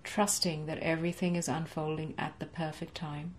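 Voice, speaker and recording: A young woman coaching a guided tapping session from her home office, speaking in slow, soothing, rhythmic repeated phrases for the listener to echo. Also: sits low, level tone, slight background noise. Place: home office with framed certificates, indoors.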